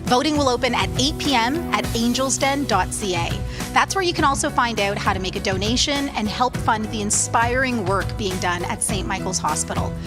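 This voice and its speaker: A woman's voice: on the high side, normal speed, music in the background. A woman hosting an event, reading a scripted, upbeat announcement. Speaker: event host.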